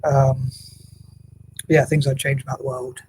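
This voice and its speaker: A man vlogging casually at home, with a quiet voice, talking hesitantly with many restarts and fillers, often sinking to a near whisper. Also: mid-range voice, flat delivery, unhurried, a little hiss or hum, rough recording. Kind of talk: rambling casual vlog monologue. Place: home room, building work next door.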